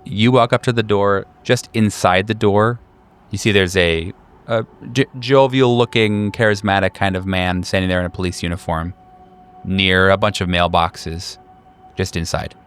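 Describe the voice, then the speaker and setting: A man narrating a tabletop horror game as game master, describing scenes in a measured, deliberate way. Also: low-pitched, fairly even intonation, fairly bright tone, clear, resonant tone.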